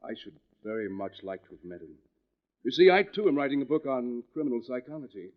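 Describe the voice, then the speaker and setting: Male actor, courteous intense doctor, formal phrasing, lively intonation, 1940s radio drama, muffled old recording. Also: medium pitch, fairly quick, close to an everyday voice. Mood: eager, probing. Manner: formal, inquisitive, earnest. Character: courteous, intense male doctor writing about criminal minds.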